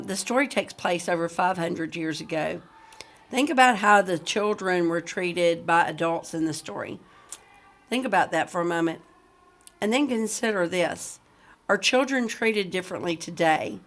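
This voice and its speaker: A woman's voice: on the low side, measured pace, a bit bright. A woman teacher reading a book aloud to students, speaking directly to them as if leading a discussion. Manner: addresses listeners directly with questions. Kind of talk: read-aloud lesson with discussion questions.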